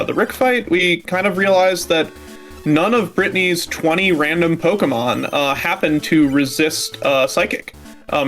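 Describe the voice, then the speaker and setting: A man's voice: fairly high pitch, a bit bright. A male speedrunner casually explaining his strategy as he plays. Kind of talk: casual gameplay commentary.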